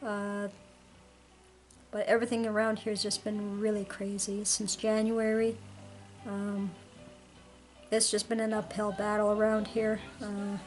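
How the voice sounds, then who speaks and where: A woman chatting casually about her record collection, rambling with many ums, her voice hoarse and tired late at night. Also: mid-range voice, monotone, unhurried, pauses often, soft background music, low-quality recording. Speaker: vinyl collector vlogger. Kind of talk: casual show-and-tell vlog.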